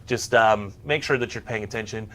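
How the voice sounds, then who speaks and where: A man's voice: lowish voice, normal speed, bright, forceful tone, slight background noise. A man co-hosting a workplace tech show, giving semi-scripted tips in a casual, instructional way with some bantering asides.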